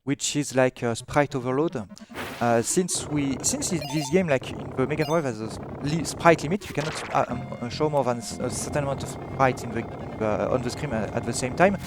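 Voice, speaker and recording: A man's voice: medium pitch, brisk, hardly any pauses, background noise. A young man from France with a French accent, hesitantly commentating his live speedrun of a game, with many fillers and restarts. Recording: game music playing behind.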